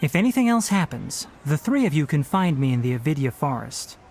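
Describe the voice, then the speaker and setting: Lively, sensible young scholar. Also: male voice, a little high, a little fast, slight background noise. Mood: practical, caring. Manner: articulate, matter-of-fact, gently chiding. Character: sensible young male forest scholar, dry, caring mentor. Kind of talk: scripted video game character dialogue. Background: music.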